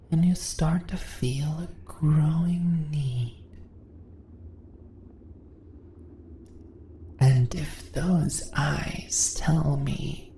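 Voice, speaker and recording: A woman voicing a seductive, dominant spider monster in an intimate ASMR roleplay, breathy and often whispering, with teasing, condescending questions. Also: low-pitched, mellow, full character voice. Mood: sinister, coaxing. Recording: roomy echo, faint background noise.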